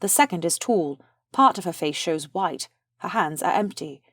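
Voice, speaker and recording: A woman narrates a play, reading its stage directions in a fairly low voice. The recording is dry and close, with no room around her. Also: lively intonation, normal speed, no echo.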